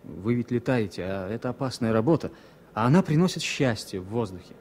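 An actor playing a fussy, superstitious former pilot as a passenger, hesitant yet insistent, in a 1970s Soviet radio play. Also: male voice, medium pitch, lively intonation, a little fast, a little mellow, close to an everyday voice. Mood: insistent, wistful. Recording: faintly noisy vintage radio recording.

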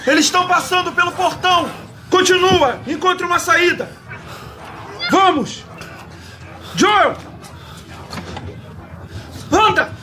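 A Brazilian man playing a panicked, protective uncle who shouts urgent commands in a video game fan dub. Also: very high voice, animated, takes it slowly, pauses often, noisy background, full character voice. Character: panicked, urgent younger uncle guarding his family.

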